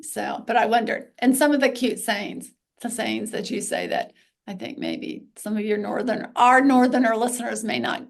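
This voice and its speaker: Higher-pitched gravelly middle-aged woman. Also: very animated, normal speed. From Texas. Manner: rambling, stops and restarts mid-thought. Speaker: podcast host. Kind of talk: casual conversation.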